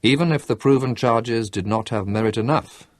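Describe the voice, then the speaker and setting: A man's voice: mid-range voice, normal speed, no echo, clean studio sound, natural voice. A man voicing a stern military court president, formal as he pronounces a verdict.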